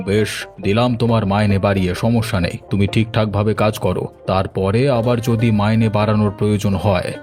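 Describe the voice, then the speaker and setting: A man's voice: on the low side, level tone, a little fast, a little mellow, faint music behind, natural voice. A man voicing a villager in a children's cartoon, with an emphatic, questioning way of talking. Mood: pleased.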